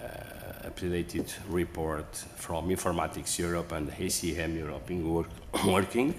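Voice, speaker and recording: Guy, very low voice, slow and halting, echoey big hall. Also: fairly even intonation. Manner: halting, many fillers and restarts. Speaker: conference speaker. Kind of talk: hesitant presentation talk. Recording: echoey hall, faint room noise.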